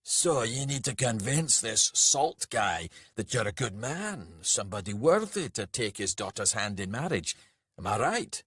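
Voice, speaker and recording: A man's voice: fairly low pitch, big pitch swings, a little slow, fairly bright tone, slightly rough, no echo, studio quality, full character voice. A man voicing a big, fierce male brawler in a story, blunt, sweary and goading. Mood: probing, teasing.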